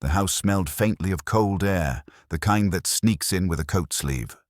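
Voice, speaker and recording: A man's voice: low-pitched, normal speed, no echo, studio quality. A man reading a first-person detective story as an audiobook narrator.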